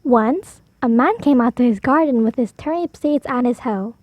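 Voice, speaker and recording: A woman storyteller reading a picture book aloud for preschoolers, animated, drawing out key words for emphasis. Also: a little high, normal speed, no echo, muffled recording. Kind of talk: expressive read-aloud for preschoolers.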